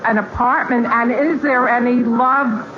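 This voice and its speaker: A woman's voice: on the high side, fairly even intonation, unhurried. An older woman casually asking a question as an audience member on a radio show. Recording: muffled, with crowd noise.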